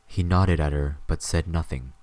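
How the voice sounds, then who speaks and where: A man's voice: rumbling low, normal speed, darkish tone, no echo. A man reading scripted story narration as the narrator of an audio drama.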